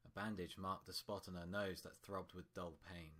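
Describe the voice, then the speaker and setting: A man's voice: low-pitched, fairly even intonation, normal speed, fairly bright tone. A man reading scripted fiction as a storyteller, in prose narration.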